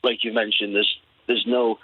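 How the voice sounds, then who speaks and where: A man's voice: on the low side, flat delivery, normal speed, slightly rough, no room sound. A young Welsh man who grew up speaking Welsh first, calling in to a football phone-in and talking earnestly with many restarts. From Wales. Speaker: caller. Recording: tinny phone line.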